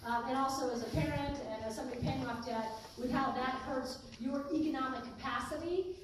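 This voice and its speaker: A woman's voice: high voice, brisk. A woman running for Congress, answering audience questions at a town hall in an earnest, conversational way, turning emphatic when she defends herself. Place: community town hall meeting room. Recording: echoey hall, voice from far off, noisy.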